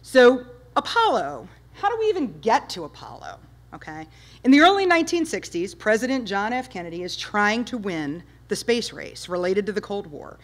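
A woman in her fifties giving an enthusiastic public talk with slides, loud and emphatic, firing rhetorical questions at the audience. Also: mid-range voice, sing-song, moderate pace. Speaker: guest speaker, space history presenter. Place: dark lecture hall with projector screen.

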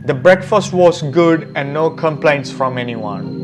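A man's voice: fairly high pitch, lively intonation, measured pace. A man reading a scripted voice-over about a trip as a vlog narrator. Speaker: vlogger narrator. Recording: music behind the voice. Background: background music.

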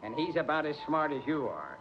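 An actor playing a gruff, impatient older police lieutenant in a 1940s radio mystery, bossy and exasperated. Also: male voice, on the high side, sing-song, brisk. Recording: muffled 1940s radio recording, faint noise.

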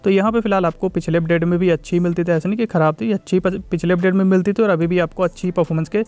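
A man's voice: high-pitched, fairly even intonation, rapid-fire, darkish tone. A man giving a casual, repetitive tech review voice-over, mixing in English tech terms.

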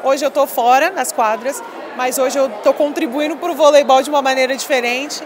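A woman's voice: sits high, fairly even intonation, normal speed, crowd noise, noisy background. A woman in her thirties, a former volleyball player, chatting emphatically in an interview on a handheld mic at a busy expo hall, dropping lots of little fillers. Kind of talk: casual interview. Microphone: handheld mic held by interviewer.

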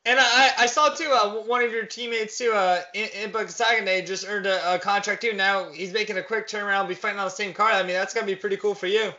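A man's voice: sits high, fairly even intonation, fast, hardly any pauses, bright, forceful tone. A young man interviewing over a video call from indoors, asking easygoing questions with many fillers. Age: young adult. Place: indoors, plain wall. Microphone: webcam on a video call. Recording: dull video-call audio.

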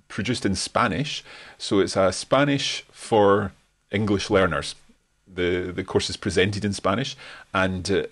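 A man in his forties with a Scottish accent, hosting a live stream from his bookshelf-lined study and answering viewer comments into a desk mic in a relaxed, friendly way. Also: deep voice. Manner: relaxed and friendly, thanks viewers, frequent fillers. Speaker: host. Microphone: desk microphone in front, webcam framing.

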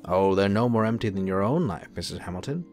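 A man playing a serene, gently persuasive angel in human guise in an audio drama, calm and assured. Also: fairly low pitch, fast, faint music behind. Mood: gently firm.

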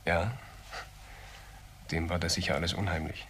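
An actor playing an earnest, defensive young ex-convict in a crime radio drama, explaining himself haltingly. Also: male voice, sits low, little pitch movement, a bit bright, husky, exaggerated voice. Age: young adult. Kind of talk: naturalistic German crime radio drama acting.

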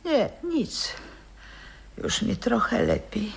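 Slow and husky, a frail old grandmother who once sang on stage drifts between whispers and wistful memory. Her speech is dreamy and unhurried. The old radio recording carries a long, hall-like reverb. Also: on the high side, huge pitch swings, exaggerated voice. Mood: weak. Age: older. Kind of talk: 1960s radio play acting.

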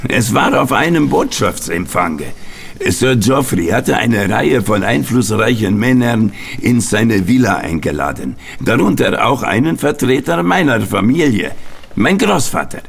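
A man's voice: mid-range voice, big pitch swings, unhurried, gravelly, slightly rough, heavily acted. An actor playing a hot-tempered Italian man confessing a failed mission in an audio drama. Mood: bitter recounting. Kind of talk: German radio play acting.

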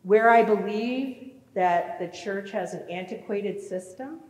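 A woman's voice: mid-range voice, takes it slowly. Woman pastor preaching in an echoey church. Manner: animated, gesturing, asks the congregation questions. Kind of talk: sermon. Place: large church sanctuary. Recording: echoey church with long reverb.

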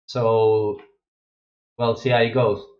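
A man's voice: lowish voice, little pitch movement, slow pace, darkish tone. A young Russian-born man with a Russian accent, chatting casually as a streamer in his gaming room, rambling with many fillers and restarts. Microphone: wireless headset. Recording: echoey, dull lo-fi home mic.

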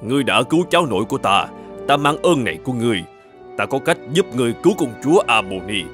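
A man's voice: medium pitch, sing-song, fast, fairly bright tone, faint music behind. A man voicing a proud, commanding ancient eagle in a children's cartoon. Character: proud thousand-year-old male magic eagle, commanding. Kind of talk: children's cartoon fairy-tale dubbing.

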